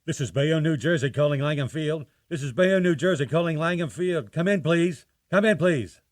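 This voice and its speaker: A man's voice: on the high side, moderate pace, no echo, close to an everyday voice. Actor as urgent, dry-sounding radio-play man. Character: urgent radio announcer and commanding artillery officer. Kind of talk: 1930s radio drama acting.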